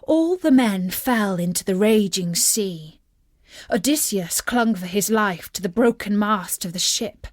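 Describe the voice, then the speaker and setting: A woman narrating a scripted children's story in a measured, expressive storyteller's manner. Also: medium pitch, no echo, clean studio sound.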